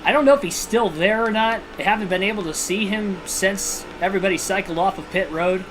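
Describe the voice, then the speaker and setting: A man hosting a livestream and giving realistic play-by-play commentary on a racing video game, in a steady broadcaster style with casual personal asides. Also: high voice, brisk, poor audio. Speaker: livestream host and race commentator. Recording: video game music clearly behind voice.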